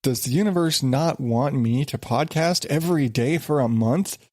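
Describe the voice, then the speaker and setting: A man's voice: mid-range voice, moderate pace, darkish tone, dry recording, studio quality. A man hosting his own podcast, stuffed up from a sinus infection and talking in an exasperated, rambling way with many restarts. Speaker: podcast host. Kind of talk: casual solo podcast.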